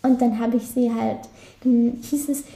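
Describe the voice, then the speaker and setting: High-voiced young Austrian woman, roomy sound. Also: level tone, unhurried, vocal fry, dark tone. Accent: Austrian German, light Carinthian. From Carinthia, Austria. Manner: chatty, laughing, many restarts. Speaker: interview guest. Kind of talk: casual interview chat.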